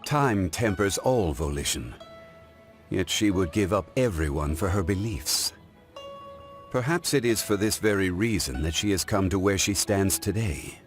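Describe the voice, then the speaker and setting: A man playing an ancient, calm, dignified gentleman in a video game, speaking in a formal, measured, reflective way. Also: low voice, soft background music. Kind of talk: scripted video game character voice lines.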